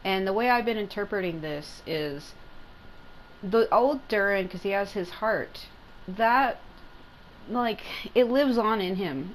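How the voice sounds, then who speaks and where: A woman's voice: mid-range voice, slight background noise, low-quality recording. A woman giving casual gameplay commentary, explaining in a rambling way and often rephrasing herself. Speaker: gaming content creator. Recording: game music behind the voice. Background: video game music.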